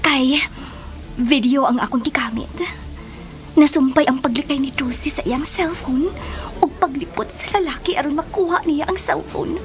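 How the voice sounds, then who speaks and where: A woman's voice: sits high, normal speed, natural voice. A woman acting in a radio drama as a scheming, gleeful spy who mutters and exclaims to herself in a melodramatic way. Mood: gloating. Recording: studio radio drama over music.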